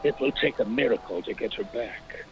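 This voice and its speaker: An actor playing a suave, menacing fat millionaire crime boss in a 1940s film noir style, with formal, insinuating phrasing. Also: male voice, mid-range voice, normal speed, highs cut off, lightly acted. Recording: band-limited old film sound with music.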